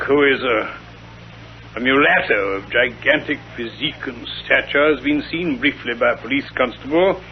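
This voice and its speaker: Dull vintage radio drama: man as middle-aged British sidekick, slightly high voice, genial, bumbling, old-fashioned. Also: slight background noise, close to an everyday voice.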